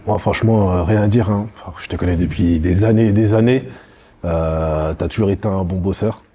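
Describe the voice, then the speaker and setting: A man with a deep, husky and very dark voice giving frank feedback, hesitating over his words. He sounds a little distant from the mic. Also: fairly quick. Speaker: jury member. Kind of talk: casual feedback.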